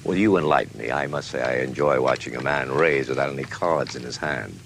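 An actor playing a smug, sarcastic mystery writer accused of murder, mocking and haughty in a theatrical way. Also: male voice, rumbling low, a little fast, gravelly, a bit bright, exaggerated voice. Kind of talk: dramatic dialogue acting. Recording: noticeable background noise.

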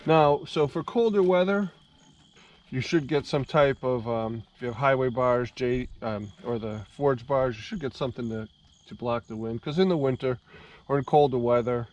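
A man vlogging about his motorcycle in a casual, halting way, with plenty of ums, later talking from inside a helmet while riding on the highway. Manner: halting, many ums and restarts. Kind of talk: casual how-to talk. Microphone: helmet mic in a full-face helmet. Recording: muffled helmet mic with loud wind noise. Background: loud wind and road noise.

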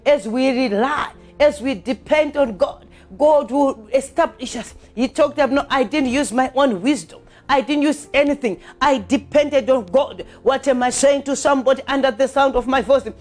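A woman's voice: high voice, moderate pace, a little mellow, soft background music. A woman preacher delivering a fervent charismatic sermon in an emphatic, repetitive, exhorting manner. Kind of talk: fervent charismatic sermon with scripture reading and prayer.

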